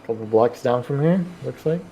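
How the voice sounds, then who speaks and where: Hesitant man with a medium-pitched voice. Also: lively intonation, fairly quick, mellow, a little hiss or hum, rough recording. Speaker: tabletop game player. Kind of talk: casual improvised role-play chat.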